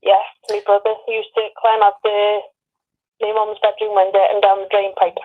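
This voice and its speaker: A woman's voice: mid-range voice, monotone, normal speed. An English woman calling in to a psychic phone-in, giving short, casual replies over a phone line. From Wirral, England. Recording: tinny, narrow phone line.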